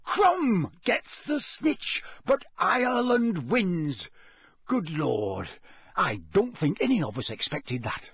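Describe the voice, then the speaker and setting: A man voicing an excitable male sports announcer at a wizard match in a storybook, roaring out proclamations. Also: medium pitch, unhurried, gravelly, husky, dry recording, studio quality, heavily acted. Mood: thrilled astonishment.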